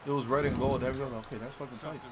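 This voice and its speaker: A man's voice: mid-range voice, steady tone, rapid-fire. A young man chatting casually about a movie, blunt and slangy, often interjecting. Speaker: co-reviewer. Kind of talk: casual chat, movie discussion. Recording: crowd chatter, bouncing basketball sounds behind.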